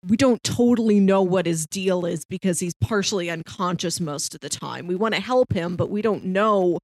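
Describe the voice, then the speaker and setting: A woman's voice: fairly low pitch, normal speed, darkish tone, no echo. A woman chatting casually and enthusiastically about films as a podcast co-host. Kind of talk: casual film discussion chat.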